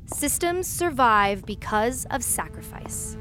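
An actress playing a haughty, cruel royal villainess in a sci-fi audio drama, pompous and deliberate. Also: female voice, a little high, animated, over music. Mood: grandly preaching.

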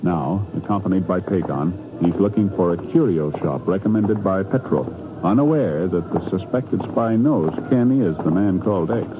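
A man's voice: deep voice, expressive, normal speed, music in the background. A man announcing in formal, emphatic scripted 1950s radio style. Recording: muffled old radio broadcast.

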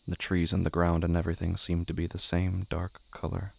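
A man narrating a scripted horror audio drama in deliberate, halting phrases with long pauses. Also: low-pitched, monotone, gravelly, a little hiss or hum. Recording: music bed under the voice. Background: music.

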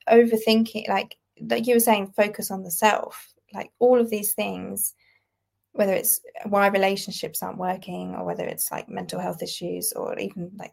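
A woman's voice: mid-range voice, gravelly. A woman in her twenties with a British accent, speaking as a podcast guest in a reflective, casually phrased conversational way. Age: 20s. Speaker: guest writer. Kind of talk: conversational interview.

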